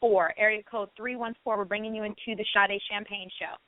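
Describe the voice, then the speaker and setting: A woman's voice: mid-range voice, steady tone, a little fast, no echo, narrow, tinny audio. A woman hosting a live call-in show, encouraging and affectionate with the people she talks to. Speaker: radio show host taking listener calls.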